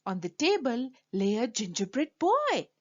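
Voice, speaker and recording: A woman reading a children's story aloud in an expressive, emphatic way. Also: a little high, measured pace, muffled recording. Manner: animated, emphatic delivery for children.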